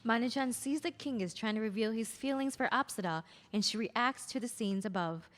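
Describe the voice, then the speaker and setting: A woman reading stage directions aloud at a play's table read, in plain, even narration. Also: fairly high pitch, a little fast, in a large hall.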